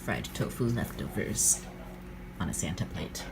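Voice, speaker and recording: A man's voice: sits low, lively intonation, a little slow, gravelly. A man vlogging in casual, everyday conversation. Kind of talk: casual vlog chat. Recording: noisy handheld vlog recording.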